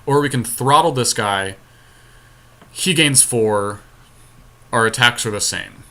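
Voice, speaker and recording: A man's voice: mid-range voice, slow pace, bright, forceful tone. A man casually commentating on a game he is playing, thinking aloud and talking options through with a partner. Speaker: podcast co-host playing an online card game.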